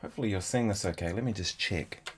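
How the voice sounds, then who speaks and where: A man's voice: deep voice, quick pace. A man hosting a guitar livestream, sniffly from a stuffy nose, chatting casually and thinking aloud between bits of playing. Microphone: in-ear monitors, studio mic. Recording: livestream with loud guitar behind. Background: loud electric guitar.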